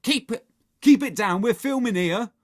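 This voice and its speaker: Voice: mid-range voice, very animated, normal speed, voice pushed up, natural voice. Performer voicing a bossy, bellowing horrid boy. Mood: loud, bellowing. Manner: bellowing, commanding, sneering. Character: bossy, scheming, horrid young boy director.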